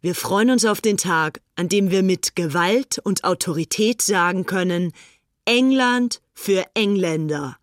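A woman's voice: fairly low pitch, unhurried, no room sound, clean studio sound, lightly acted. A woman playing a fanatical, haughty young upper-class English fascist in a dramatized reading of letters. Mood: zealous.

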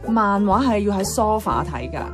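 Radio drama flatmate: actress as teasing, bossy young Hong Kong woman, medium pitch, colloquial and playful, soft music underneath. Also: normal speed, close to an everyday voice. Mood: breezy. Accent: Hong Kong Cantonese.

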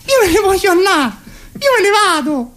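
Exasperated lady power plug, played by a man: high voice, animated swoops, Roman accent, whiny comic griping. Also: moderate pace, heavily acted. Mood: desperate, fed up. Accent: Roman Italian. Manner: whining, indignant, rambling complaint. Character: exasperated, overworked female electrical plug, complaining about her job. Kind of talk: comic radio sketch monologue.

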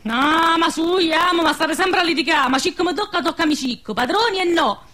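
A woman acting as a high-pitched peasant woman in a radio play. She speaks a rural central Italian dialect, earthy and complaining, a weary peacemaker among her workmates. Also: a little fast, pushed and bright. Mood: exasperated.

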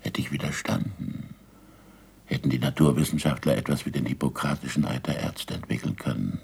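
An actor playing an ageing, disillusioned scientist in a German radio play, gravelly and husky, with deliberate, weighty delivery. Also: male voice, rumbling low, fairly even intonation, a little mellow, a little hiss or hum. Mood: bitter, solemn. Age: older. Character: ageing, disillusioned scientist, bitter, self-accusing, fond of food.

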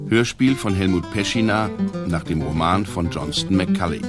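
A low-voiced man announces radio drama titles in a scripted delivery. Prominent plucked guitar music plays behind him. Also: normal speed.

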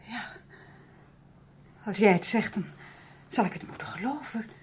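A woman's voice: mid-range voice, unhurried, husky. A woman playing a proud, wary young upper-class lady in a radio drama, speaking formally and easily turning indignant. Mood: shaken, disbelieving. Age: young adult.

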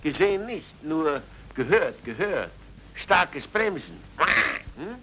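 Worked-up doorman, highish voice, repeats himself, old radio drama. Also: sing-song, a bit bright, a little hiss or hum, full character voice. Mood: agitated, emphatic. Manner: halting, emphatic, repeats himself. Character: excitable Viennese porter, eyewitness to an accident. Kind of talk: 1950s-60s German radio drama acting. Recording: old radio play with music.

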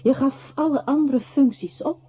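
An actress playing a solemn, quietly accusing narrator in a radio drama, with a husky voice and deliberate literary phrasing. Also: female voice, high-pitched, fairly even intonation, lightly acted. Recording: muffled phone-like old radio recording.

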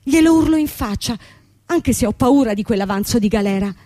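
An actress in a radio play playing a young company boss, bankrupt, desperate and defiant, telling her story with halting, repeated words. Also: fairly high pitch, animated, warm tone, dry recording, clean studio sound. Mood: indignant, desperate. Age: young adult.

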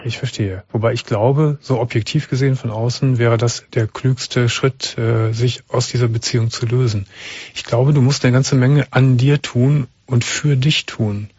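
Male radio host, late-night call-in, husky voice, dry studio, dull radio sound. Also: mid-range voice, moderate pace, no echo. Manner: calm, probing, interrupts to clarify.

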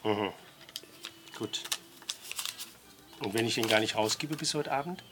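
A man's voice: fairly low pitch, unhurried, background noise. A middle-aged man, a shop owner, in casual conversation inside his small shop.

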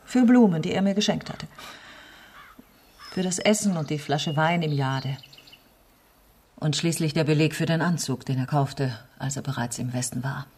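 A woman's voice: deep voice, moderate pace, long pauses, a little mellow, breathy edge. An actress playing a bitter, betrayed middle-aged woman politician in a naturalistic radio drama, brooding with wounded irony.

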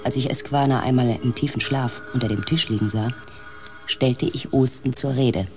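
An actor playing a sober male expedition scientist in a radio drama, speaking in a measured, reflective and deliberate way. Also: medium pitch, steady tone, faint music behind, close to an everyday voice. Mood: matter-of-fact. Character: sober expedition scientist, narrator of an alien planet journey. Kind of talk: scripted radio drama narration and dialogue.

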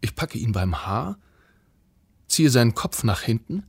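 An actor playing a cynical, smug police officer in a radio play, speaking in a sardonic, deliberate, menacing way. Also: male voice, medium pitch, big pitch swings, creaky, a little mellow, husky, full character voice. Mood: tense, narrating. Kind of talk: German radio play acting, monologue.

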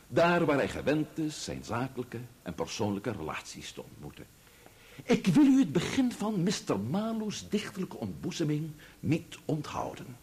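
An actor playing a formal, grave and indignant barrister in a radio drama, speaking in pompous, oratorical courtroom diction. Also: male voice, medium pitch, darkish tone, slightly rough.

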